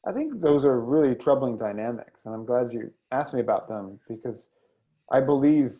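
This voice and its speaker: An American man speaking as a guest in an interview, reflective and hesitant, often pausing mid-sentence as he thinks. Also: mid-range voice, lively intonation, muffled recording. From United States. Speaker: guest poet and editor. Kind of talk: thoughtful interview conversation.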